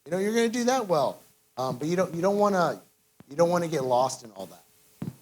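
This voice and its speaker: A man with a fairly high voice offers reflective advice as a guest speaker. His delivery is informal and halting, circling back over phrases. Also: big pitch swings, a little mellow, rough recording. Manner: halting, repeats "you know". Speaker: business owner guest speaker.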